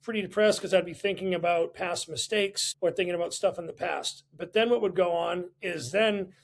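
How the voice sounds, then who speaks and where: A man's voice: high voice, moderate pace, creaky. A man in his thirties telling personal stories candidly in casual conversation, blunt and prone to swearing, as a guest on a podcast. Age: 30s. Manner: profane, blunt.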